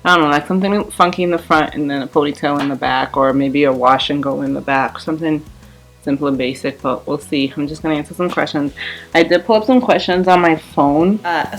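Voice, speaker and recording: Brief: twenties woman, deep for her sex, chatty, loose and repetitive, soft music underneath. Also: rough recording. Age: 20s. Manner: rambling, repeats herself, many fillers. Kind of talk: casual chat while doing her hair.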